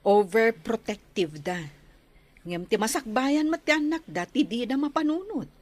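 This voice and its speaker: A woman's voice: on the high side, animated, moderate pace. A woman playing a caring, practical visiting nurse in a radio drama, with a Filipino accent and chatty manner. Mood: concerned.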